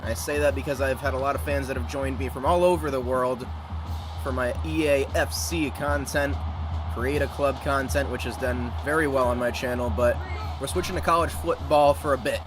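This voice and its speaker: A young American man hosts a relaxed gaming live stream, chatting with viewers and calling the action in an upbeat, talkative way. His voice sits at an ordinary middle pitch. The game's music plays clearly underneath him throughout. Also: normal speed. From United States. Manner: chatty, upbeat, greets chat and calls plays. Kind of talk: casual live-stream commentary. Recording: game music playing behind voice. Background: video game music.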